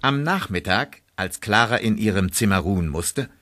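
A male narrator tells a children's story in scripted audio-drama style. His voice is fairly low, with very lively intonation. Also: normal speed.

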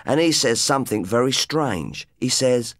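A man's voice: medium pitch, lively intonation, normal speed, no echo, clean studio sound, close to an everyday voice. A man playing a boastful English rock guitarist, telling his tale in chatty, matey slang, as in an audiobook. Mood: cocky, reminiscing. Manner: cocky, matey, colloquial. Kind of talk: first-person audiobook storytelling in colloquial slang.

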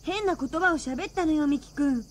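Actress as high-voiced, earnest schoolgirl. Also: moderate pace, slight background noise, close to an everyday voice. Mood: urgent. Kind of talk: radio drama acting.

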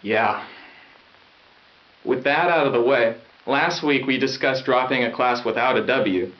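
A polished, agreeable teenage boy anchoring a school newscast, acted by a young man. His pitch is average and the sound is muffled like old nineties videotape. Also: unhurried, close to an everyday voice. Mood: reassuring. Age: young adult. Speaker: news anchor. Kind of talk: scripted school news presenting, acted. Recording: dull lo-fi 1990s VHS sound.

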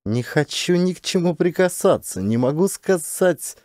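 A man acts out a woman military commander in a science fiction audiobook. She is wary and on edge, her voice lifted well up in pitch. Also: lively intonation, moderate pace, a little mellow, no echo, studio quality, natural voice.

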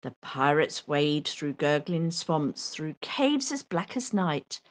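A woman reading a children's picture book aloud with expressive, theatrical delivery. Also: low-pitched, slow pace. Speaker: storyteller.

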